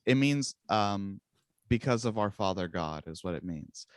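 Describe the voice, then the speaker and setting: Young man, first language Czech, low voice, dry close sound, rambling, self-mocking, laughs a lot. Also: lively intonation, a little slow, no room sound. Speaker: musician guest. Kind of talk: casual interview chat.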